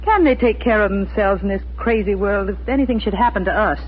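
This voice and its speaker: A woman's voice: medium pitch, fairly quick, lightly acted. Tinny vintage radio, woman acting an anxious mother. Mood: worried. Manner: fretful, pleading, earnest. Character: anxious, devoted middle-aged mother on a ranch. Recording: tinny, noisy 1940s radio recording.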